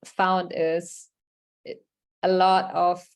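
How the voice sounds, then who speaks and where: A woman speaks over a video call, running an online workshop. Her voice sits on the lower side, and her delivery is conversational as she reads participants' answers aloud and responds to them. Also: little pitch movement, extremely slow, clean tone. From Germany. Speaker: workshop facilitator.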